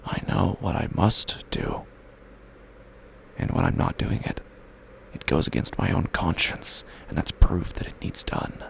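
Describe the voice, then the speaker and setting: An actor playing a grieving, haunted widower who is slowly unraveling, in a halting, brooding horror audio drama monologue with a gravelly, breathy voice. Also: male voice, low-pitched, slight background noise, heavily acted. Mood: haunted, resolute. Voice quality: gravelly, breathy, often dropping to a whisper. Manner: halting, brooding, with long pauses.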